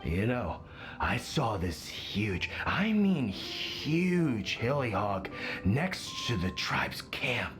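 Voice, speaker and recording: A foolish, cheerful drunk of a hunter father for a video game. His slurred, rambling speech drags very slowly and lurches up and down in pitch. Music plays clearly behind him. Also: sing-song, exaggerated voice. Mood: tipsy, cheerful. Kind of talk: video game character acting.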